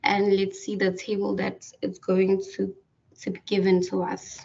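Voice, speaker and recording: A woman walking through a software tutorial over a screen recording, hesitant with many fillers and restarts. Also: mid-range voice, monotone, unhurried, gravelly. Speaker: tutorial presenter. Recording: distant, dull lo-fi mic.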